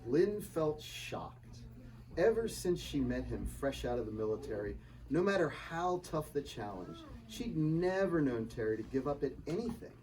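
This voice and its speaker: Animated male story narrator, slightly distant. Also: a little high, moderate pace. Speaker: author and narrator. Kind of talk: scripted story narration, live reading. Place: live reading at a bookshop event. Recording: distant mic, faint room noise.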